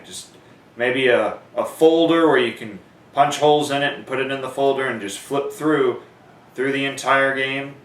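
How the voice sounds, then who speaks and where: A man's voice: mid-range voice, a bit bright. A man giving an informal, conversational how-to lesson, explaining things step by step. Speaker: sports announcing instructor. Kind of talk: instructional how-to talk with demonstrations. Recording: echoey room, distant microphone.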